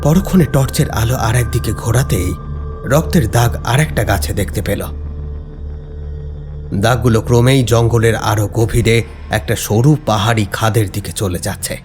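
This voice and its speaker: A man narrating a scripted horror story in a dramatic, suspenseful, deliberate way. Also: mid-range voice, music in the background.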